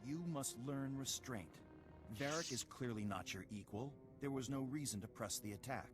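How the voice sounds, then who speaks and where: A man playing a stern, patient Jedi master in a video game, speaking in a measured, formal, authoritative way. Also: mid-range voice, over music, close to an everyday voice.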